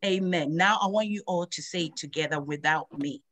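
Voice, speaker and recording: A middle-aged woman, hosting a children's Bible lesson over a video call and slowly drilling memory verses in a sing-song teacher voice. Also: sits low, fairly bright tone. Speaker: host of a children's Bible program.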